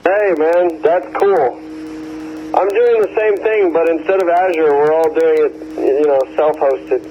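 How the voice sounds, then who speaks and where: A man's voice: a little high, unhurried, lo-fi sound. A man chatting casually about technical things over two-way radio, laid-back and slangy as a ham operator. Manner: laid-back slangy talk, often saying "man" and "you know". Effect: two-way radio transmission. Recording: noisy ham radio repeater audio, hum.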